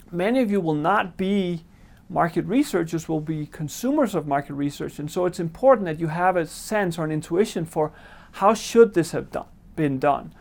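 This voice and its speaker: A male professor with a Danish accent delivers a prepared lecture introduction. His voice sits a little high for a man and has a noticeable creak. He explains things in a measured, articulate way. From Denmark. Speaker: marketing professor.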